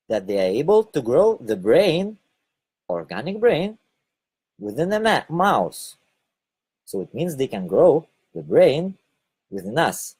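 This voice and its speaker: A man from Lithuania with a Lithuanian accent, giving a halting spoken monologue and often repeating or correcting his words. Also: fairly high pitch, a little mellow, slightly rough. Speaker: online video host.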